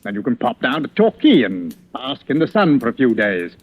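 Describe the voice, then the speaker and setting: An actor playing a genial, clipped British police chief inspector in 1940s radio drama style. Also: male voice, medium pitch, very animated, moderate pace, husky. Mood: affable, casual. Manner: clipped, affable, casual. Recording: muffled vintage radio recording.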